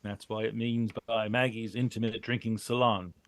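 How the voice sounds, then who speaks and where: An actor playing a cool, unflappable detective leader in an old-time radio noir, speaking in a terse, deadpan way. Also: male voice, fairly low pitch, normal speed, close to an everyday voice. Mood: dry, matter-of-fact. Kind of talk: 1940s radio detective drama acting.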